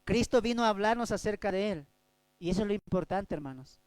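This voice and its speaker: High, clear-voiced preacher man. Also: lively intonation, brisk, dry recording. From Bolivia. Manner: preaching with sharp swings between loud and soft. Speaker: pastor. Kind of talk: Bible study sermon.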